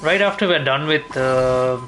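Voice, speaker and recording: A man giving casual, unhurried gameplay commentary, thinking aloud as he goes. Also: medium pitch, fairly bright tone. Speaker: game streamer. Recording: game music behind voice. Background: video game music.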